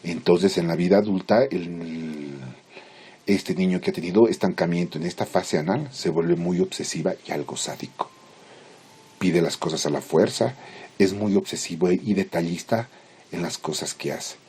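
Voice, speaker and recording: A man lecturing in Spanish as a teacher, explaining theory informally in halting, didactic phrases with check-in questions. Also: sits low, dark tone, slightly rough. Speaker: psychology lecturer.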